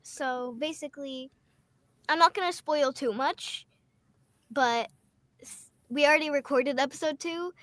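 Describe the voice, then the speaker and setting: Woman w/ a really high squeaky voice, super dry booth mic. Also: unhurried, pauses often, a bit bright, no echo. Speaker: voice actor guest. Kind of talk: casual video call interview. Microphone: home booth microphone.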